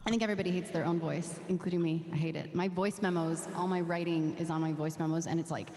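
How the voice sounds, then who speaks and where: A woman in her thirties with a low voice, a songwriter guest chatting casually and laughing often. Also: steady tone, quick pace, warm tone. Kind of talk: casual chat. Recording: echoey room with long reverb.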